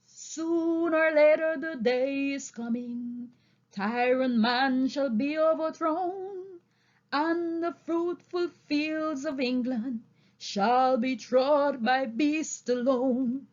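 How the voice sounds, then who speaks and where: Casting: woman as old boar orator for a storybook, high voice, slow solemn emphatic delivery, dull lo-fi recording. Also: darkish tone. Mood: rousing, singing. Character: old male boar, solemn rousing orator to fellow animals. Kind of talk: audiobook character speech and song.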